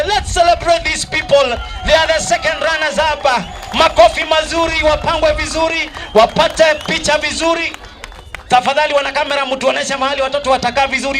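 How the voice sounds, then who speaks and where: A man's voice: extremely high pitch, fairly bright tone. A man with a Kenyan accent announcing as master of ceremonies at a live awards event, exhorting and repeating himself. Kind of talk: live event announcing, switching between reading and hyping up. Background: music.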